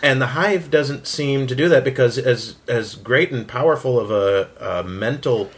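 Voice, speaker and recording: Guy thinking out loud at a round-table, normal mid voice. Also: medium pitch, moderate pace. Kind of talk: casual analytical discussion.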